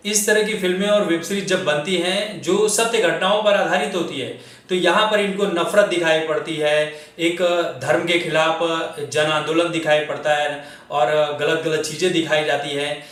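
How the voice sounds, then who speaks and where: A man's voice: a little high, fairly even intonation, normal speed, fairly bright tone. Echoey, distant man giving opinionated commentary. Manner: rhetorical questioning, addresses listeners directly. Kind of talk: opinionated film and political commentary to camera. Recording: very echoey room, distant microphone.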